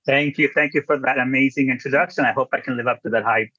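A man's voice: mid-range voice, steady tone, fast, pushed and bright, no room sound, highs cut off. Man with a Chilean-Jersey accent. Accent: Chilean-tinged New Jersey English. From Chile and New Jersey. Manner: chatty, self-deprecating, many restarts and laughs. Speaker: physician guest. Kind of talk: casual podcast interview.

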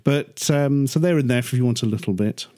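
A man's voice: medium pitch, a little fast, dark tone. A man talking casually as a panellist in a discussion of films and games. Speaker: podcast panellist. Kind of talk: casual film and game discussion.

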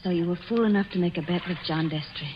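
An actress playing a worried, loyal confidante, earnest and dramatic, in a 1940s radio thriller. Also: female voice, fairly low pitch, quick pace, close to an everyday voice. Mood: alarmed. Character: worried, loyal female confidante of a doomed man. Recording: muffled, noisy, reverberant 1940s radio recording.

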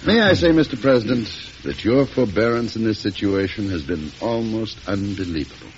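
A man's voice: on the low side, animated, moderate pace, a little hiss or hum, natural voice. A man playing a loyal, deferential political aide with a formal, emphatic manner in a 1940s radio drama. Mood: hearty, respectful. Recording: muffled vintage radio recording.

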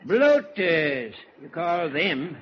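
An actor playing a gruff, talkative old fishing boat skipper, blunt and teasing, in a 1940s radio drama. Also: male voice, on the high side, sing-song, drawn-out words, heavily acted. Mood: blunt, needling. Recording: noisy dull 1940s radio recording.

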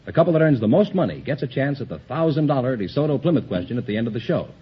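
Crisp upbeat announcer man. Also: mid-range voice, sing-song, racing. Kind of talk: announcing rules and scores. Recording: dull old radio recording.

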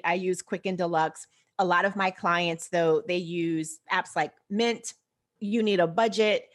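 A woman with an American accent chatting casually as an interview guest, animated and explaining things step by step with rhetorical questions. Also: fairly low pitch. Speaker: interview guest, personal finance expert.

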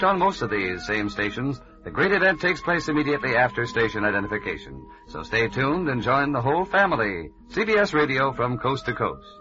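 Animated, bright male network announcer. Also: medium pitch. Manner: upbeat, promotional. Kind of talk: 1950s radio promo announcing. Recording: muffled vintage radio broadcast over music. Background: music.

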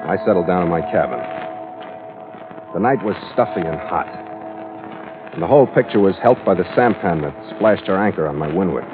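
Man acting a hard-boiled sea captain, low voice. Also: lightly acted. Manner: terse, clipped, dramatic delivery. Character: world-weary, hard-boiled male sea captain narrating his log. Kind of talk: 1940s radio drama acting, hard-boiled narration. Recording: tinny old radio recording with music.

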